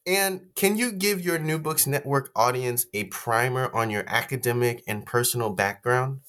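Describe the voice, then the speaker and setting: A man's voice: mid-range voice, normal speed, fairly bright tone. A man hosting a podcast, reading prepared questions to his guest in a formal way. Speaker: podcast host. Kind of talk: interview hosting.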